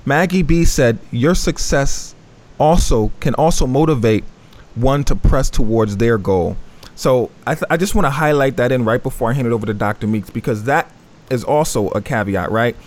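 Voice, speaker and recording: A man's voice: medium pitch, normal speed. A man hosting a panel talk, reflective and affirming as he sums up his guests' points. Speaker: host.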